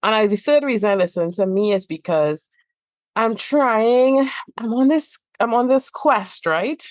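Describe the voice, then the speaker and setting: A woman's voice: on the high side, expressive, measured pace, dry recording. A woman from Trinidad and Tobago with a Trinidadian accent, speaking as a therapist in a podcast interview, earnest and emphatic, repeating phrases for stress. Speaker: couples therapist and trainer. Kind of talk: reflective podcast interview talk.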